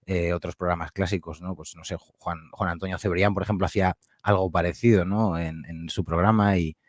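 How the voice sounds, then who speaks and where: A man speaking in a relaxed, thoughtful way as a podcast guest, using many fillers and tag questions. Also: low voice, fairly quick, no echo, studio quality. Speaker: podcast guest, audio fiction producer. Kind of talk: casual interview answers.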